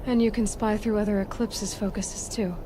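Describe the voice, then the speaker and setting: A young woman acted by a female performer as a headstrong, inquisitive video game huntress. Her mid-pitched voice is direct and insistent, pushing for answers, with music playing underneath. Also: fairly even intonation, moderate pace, noisy background, natural voice. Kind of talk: video game cutscene dialogue.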